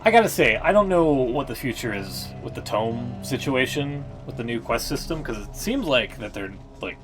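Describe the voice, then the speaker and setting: A man's voice: mid-range voice, sing-song, normal speed. A man streaming a game, reading lore passages aloud and breaking off to react casually and swear freely. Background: game music.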